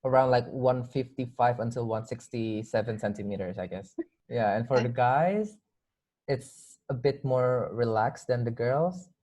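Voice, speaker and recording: A man's voice: medium pitch, unhurried, warm tone. A man with an Indonesian accent chatting casually as a guest in a discussion, often hedging what he says.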